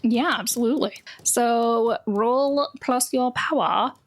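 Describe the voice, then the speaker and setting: A woman's voice: a little high, takes it slowly. A woman game master narrating a tabletop game in a casual, improvised, playful way.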